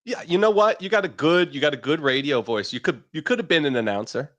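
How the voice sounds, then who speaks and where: A man's voice: fairly high pitch, lively intonation, quick pace, fairly bright tone. A man co-hosting a markets show, chatty and teasing, laughing easily. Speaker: co-host. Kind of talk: casual chat.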